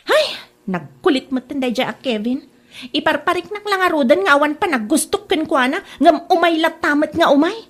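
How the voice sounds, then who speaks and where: A woman's voice: high voice, lively intonation, normal speed, fairly bright tone. A woman playing a grumbling, fussy young daughter in an Ilocano comedy drama. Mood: exasperated.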